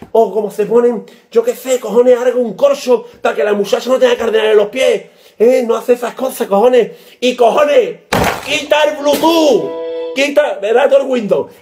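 A man, ranting loudly and comically to a webcam in a small room, gesturing wildly and swearing. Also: very high voice. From Spain. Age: 30s. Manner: loud, animated, gesturing wildly, swearing and shouting. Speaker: comedy vlogger. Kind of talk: comic rant to camera. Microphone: laptop webcam.

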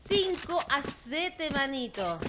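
High-pitched Chilean woman casting esports. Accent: Chilean Spanish with some Argentine touches. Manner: excitable, quick bursts of exclamations. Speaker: esports caster. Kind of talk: live game commentary. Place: home room, indoors. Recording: clear background noise from game sounds.

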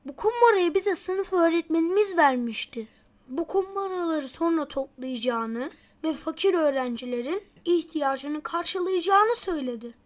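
A woman's voice: very high-pitched, animated, normal speed. A woman voicing an earnest, anxious little schoolboy in a children's cartoon. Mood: worried.

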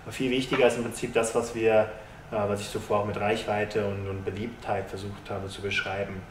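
Guy in his 30s talking sponsorship, lots of ähms and restarts, lowish voice, echoey room, faint hiss. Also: flat delivery. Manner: measured, businesslike, many hesitations and restarts. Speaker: sponsorship executive. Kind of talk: corporate interview answers. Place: stadium lounge with glass walls, indoors. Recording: roomy, distant mic, faint hiss.

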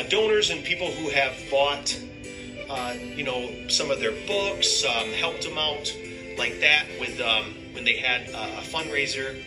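A man in his fifties giving a casual, enthusiastic vlog review in an informal way. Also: medium pitch. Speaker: hiker and video reviewer. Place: indoors in living rooms of a house. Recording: roomy, distant mic, music behind.

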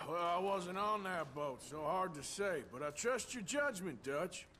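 An actor playing a loyal, weary American cowboy outlaw in a video game, understated and plain-spoken. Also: male voice, sits high, expressive, unhurried, pushed and bright, slight background noise, full character voice. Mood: subdued, loyal. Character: loyal, weary male cowboy outlaw, gruff but considerate. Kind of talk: naturalistic video game acting.

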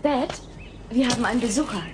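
An actress playing a helpful teenage daughter in a sitcom audio drama. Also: female voice, on the high side, fast, lightly acted. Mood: matter-of-fact. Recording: slightly noisy cassette tape.

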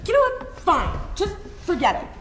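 A young woman reads a blunt, tough teenage girl at a table read, her voice lively and full of movement. She sits away from the mic in an echoey room with loud noise behind her. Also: sits high, normal speed, distant mic, a crowd in the background. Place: conference room.

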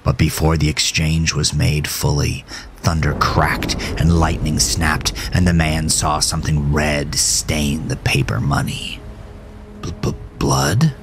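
Very deep-voiced male horror narrator. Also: flat delivery, a little slow. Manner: ominous, theatrical. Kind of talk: dramatic scripted horror narration. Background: music.

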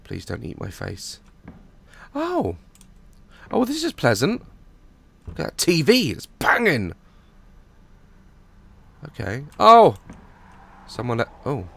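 A hoarse young man on a headset mic, nervously joking and swearing through a horror game as a gaming YouTuber. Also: mid-range voice, wildly swooping pitch, slow, long pauses, a bit bright, dry recording. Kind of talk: horror game let's play commentary.

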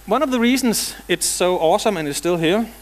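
A man's voice: high-pitched, lively intonation, a little fast, a little hiss or hum. A middle-aged man from Denmark with a Danish accent, giving a technical conference talk in a large hall with dry jokes and casual asides. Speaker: conference speaker.

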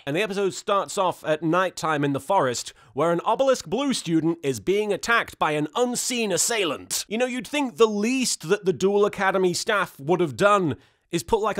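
A man's voice: high-pitched, fairly bright tone. A man in his thirties giving a playful, sarcastic comedy recap to camera in a theatrical manner. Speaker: host of an episode recap and reaction series.

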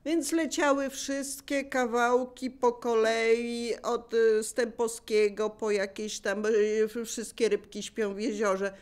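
A woman casually sharing memories of family life, in the relaxed style of oral history. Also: a little high, slow, few pauses, clear, resonant tone. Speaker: local resident sharing memories.

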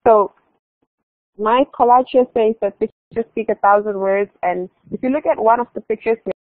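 A woman's voice: a little high, moderate pace, no echo. Young woman, exchange alumna, casual presenter; tinny phone-like call audio. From Malaysia. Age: young adult. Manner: casual, repeats and restarts phrases, says "like" often. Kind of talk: online conference presentation.

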